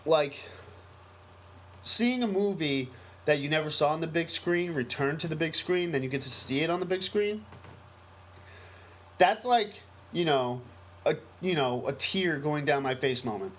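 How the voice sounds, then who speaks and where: A man's voice: fairly high pitch, lively intonation, unhurried, long pauses. An American man co-hosting a casual podcast, talking in a rambling, emphatic, enthusiastic way. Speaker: podcast co-host. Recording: noisy amateur podcast recording.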